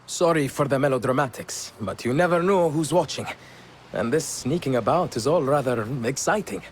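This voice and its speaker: An actor voicing a genteel, secretive tea house owner with a polished, slightly theatrical manner. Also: male voice, mid-range voice, expressive, normal speed, slight background noise, close to an everyday voice.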